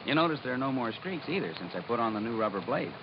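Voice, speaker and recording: Careful sergeant husband, noisy recording. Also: male voice, mid-range voice, very animated, fast talker. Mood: calm, careful. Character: calm, cautious police sergeant husband. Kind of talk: 1950s radio public service sketch. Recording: muffled old radio, loud noise behind.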